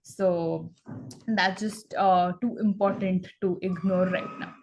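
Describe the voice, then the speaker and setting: Woman english coach, calm and encouraging, keeps saying right?. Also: fairly low pitch, slight background noise, rough recording. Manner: measured and encouraging, tags points with "right?". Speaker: English trainer. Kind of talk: conversational interview practice.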